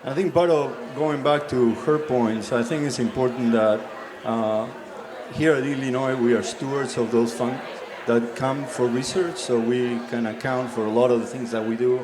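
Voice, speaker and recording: A man with a deep Southern accent, a college dean speaking on a panel, hesitant with many fillers. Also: steady tone, moderate pace, a crowd in the background. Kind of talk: panel discussion remarks.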